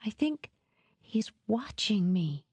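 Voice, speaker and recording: A woman's voice: medium pitch, sing-song, takes it slowly, a little mellow, husky, dry recording, voice pushed up, full character voice. A woman voicing a fragile young woman in an audiobook, anxious, polite and faltering as she speaks. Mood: fearful, faltering.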